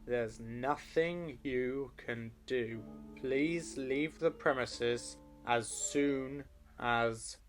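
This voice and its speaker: Man as officious bureaucrat, slow delivery, smug, pompous, comic audio drama. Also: medium pitch, faint music behind. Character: officious, smug male government official, unbending.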